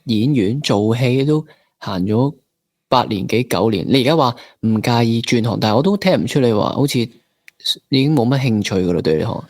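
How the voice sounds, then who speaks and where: A man's voice: mid-range voice, animated, fairly quick, darkish tone, no echo, clean studio sound. A man with a Hong Kong Cantonese accent, interviewing a guest in a conversational way as a podcast host.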